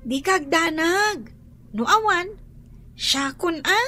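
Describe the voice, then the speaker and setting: An actress playing an anxious, hopeful middle-aged mother with an Ilocano accent and a husky voice, sighing and exclaiming in a radio drama. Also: extremely high pitch, animated, takes it slowly, pushed and bright, full character voice. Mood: alarmed. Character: anxious, hopeful mother worried for her ailing daughter.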